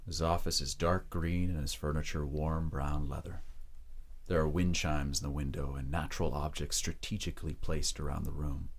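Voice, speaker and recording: A man narrating an audio drama as a grave, measured storyteller of dark romance. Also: very deep voice, fairly even intonation.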